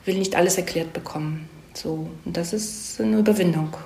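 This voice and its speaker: A woman's voice: fairly low pitch, normal speed, vocal fry, warm tone. A woman screenwriter giving an interview, explaining her craft in a casual chat and often checking in with short tag questions. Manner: explains with frequent check-in tags. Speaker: screenwriter being interviewed about storytelling craft. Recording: roomy echo around the voice.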